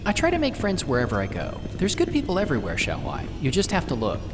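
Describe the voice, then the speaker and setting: A woman's voice: low voice, huge pitch swings, in a large hall, background noise. Woman voicing a joking older male commander. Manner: playful, teasing, quick banter.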